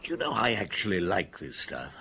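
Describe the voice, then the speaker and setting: A man's voice: sits low, sing-song, fairly quick, sharp tone, slight background noise, heavily acted. An actor playing a wry French police detective in his fifties in an audio drama, with a dry, musing manner. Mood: wry reminiscing. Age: 50s. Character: wry fifty-year-old French police detective on holiday. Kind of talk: audio drama dialogue and storytelling.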